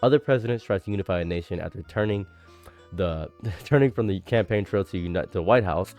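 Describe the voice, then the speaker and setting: A man's voice: low-pitched, a little fast, a little mellow, soft background music. A young man from Egypt who moved to America as a child, giving casual, emphatic political commentary as a streamer, sometimes reading aloud and repeating phrases for emphasis. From Egypt, moved to America at age eight. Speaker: streamer and commentary video creator.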